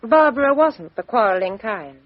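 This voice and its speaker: A woman's voice: on the high side, lively intonation, moderate pace, dry recording. An actress playing a frank, self-possessed young British woman in a radio drama, answering candidly and with emphasis. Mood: composed. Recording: dull, lo-fi radio drama recording.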